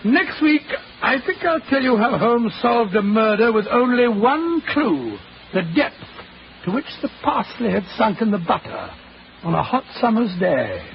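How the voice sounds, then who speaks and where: Genial old English doctor in 1940s radio mystery: high voice, blustery, halting, dull vintage sound. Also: male voice, sing-song, full character voice. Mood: genial storytelling. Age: older. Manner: hesitant, blustery, avuncular. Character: Doctor Watson, genial, bumbling older English gentleman. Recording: muffled, noisy 1940s radio recording.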